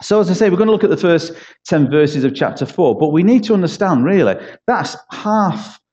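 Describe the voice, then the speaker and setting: A man's voice: high-pitched, animated, fairly quick, warm tone, lo-fi sound. A middle-aged man with a Manchester accent, preaching a sermon as a guest church leader, chatty and self-deprecating, with jokes, asides and rhetorical questions. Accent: Mancunian. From East Manchester, England.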